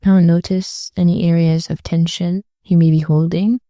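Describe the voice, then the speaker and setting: A woman leading a guided meditation in a gentle, soothing way, speaking slowly and leaving long pauses between phrases. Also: on the low side, flat delivery, dry recording.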